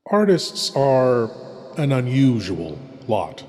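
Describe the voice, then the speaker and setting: A man hosting a horror radio show, narrating a scripted introduction in a slow, hushed and theatrical way. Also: medium pitch, sing-song. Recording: long reverb, as in a hall.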